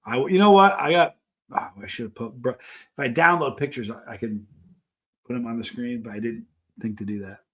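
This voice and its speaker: A middle-aged man hosting a live stream, chatting casually and telling anecdotes in an animated way, acting out the things people said. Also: mid-range voice, brisk. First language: English. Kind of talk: casual chat answering live viewers, telling travel anecdotes.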